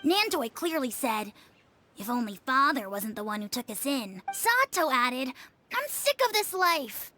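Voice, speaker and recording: A woman's voice: high-pitched, very animated, unhurried, bright, forceful tone, slight background noise, heavily acted. A woman voicing an earnest young girl informant who reports on her housemates in a game. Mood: accusing. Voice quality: matter-of-fact, tattling. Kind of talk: game voice acting.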